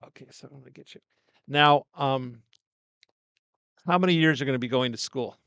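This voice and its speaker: An older man hosting a casual interview in a small studio, talking loudly and coaxingly into a directional mic. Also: mid-range voice, moderate pace, clear, resonant tone, no echo, muffled recording. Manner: loud, coaxing, chatty. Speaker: host.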